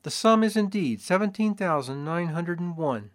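Man doing a fussy, educated assayer: thin reedy voice, high and formal. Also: animated, normal speed. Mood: prim, precise. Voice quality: pale, reedy voice. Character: thin bookish male town assayer.

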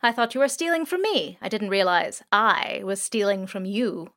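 A woman voicing a playful, chatty male drone that talks with natural pauses and indecision. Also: medium pitch, big pitch swings, a bit bright. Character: loud talkative male surveillance drone, playful.

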